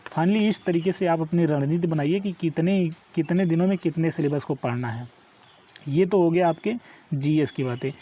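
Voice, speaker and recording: A man's voice: fairly high pitch, dark tone. A man giving an informal online exam lesson, instructing step by step and often checking that listeners follow. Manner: instructive, repeatedly checks understanding with tag questions. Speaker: exam coaching teacher.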